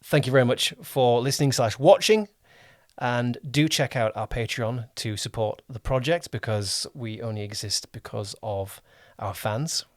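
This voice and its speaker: A man's voice: mid-range voice, measured pace, no echo, studio quality. A man hosting a podcast, interviewing a guest in a casual, conversational style.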